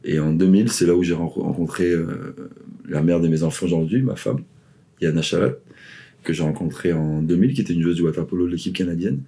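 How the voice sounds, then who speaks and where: A man's voice: low-pitched, fast talker, mellow. A man in his forties, raised in the Ivory Coast and Paris, a swim coach telling his story as a guest, rambling with lots of fillers and restarts. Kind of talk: casual interview chat.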